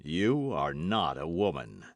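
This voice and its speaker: Figure: cold, stern nobleman, voiced by a man. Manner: measured, icy judgment. Pitch: lower than the reader's own. Sound: dry and close. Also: very animated, a bit bright, dry recording, exaggerated voice.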